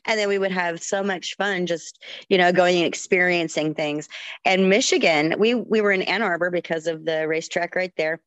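A woman's voice: fairly low pitch, normal speed, a bit bright, no room sound, muffled recording. A Texan woman co-hosting a podcast, telling stories animatedly with many "like" fillers. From Texas. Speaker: podcast co-host. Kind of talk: casual storytelling.

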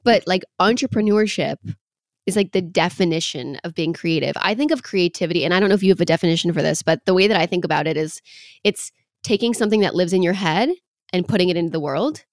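A woman's voice: lowish voice, fast talker, dry recording, clean studio sound. A woman hosting a casual interview podcast, chatty and enthusiastic. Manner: enthusiastic, chatty, lots of likes. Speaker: podcast host.

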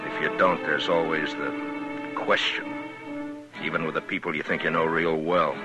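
A man's voice: extremely low pitch, flat delivery, moderate pace, dull audio. An American man playing a terse, weary frontier marshal in a 1950s radio western, with commanding delivery. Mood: weary, brooding. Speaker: lead actor and first-person narrator. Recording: old radio drama recording, music behind.

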